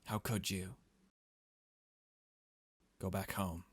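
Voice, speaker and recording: A man's voice: low-pitched, level tone, very slow, breathy edge, no room sound. A man hosting a video game playthrough with casual, rambling commentary and loose conversational asides.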